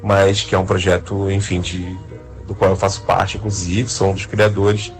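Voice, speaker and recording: A man with a Brazilian accent explaining his field in an interview, haltingly, often adding little tag questions. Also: deep voice, completely flat delivery, soft background music. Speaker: interviewed radio drama creator.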